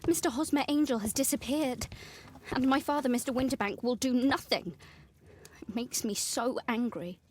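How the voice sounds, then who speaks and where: A woman's voice: high-pitched, normal speed, darkish tone, slight background noise, close to an everyday voice. An actress playing an earnest young Victorian lady in a video game, with a British accent and polite, formal speech. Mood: indignant. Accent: British English. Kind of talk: video game dialogue acting.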